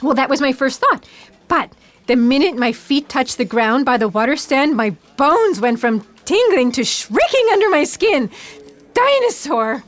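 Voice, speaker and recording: Woman as a young female scientist, high pitch, self-doubting, hesitant, nervy, audio play. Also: animated, a little slow. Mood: nervous, eager. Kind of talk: sci-fi audio theatre acting.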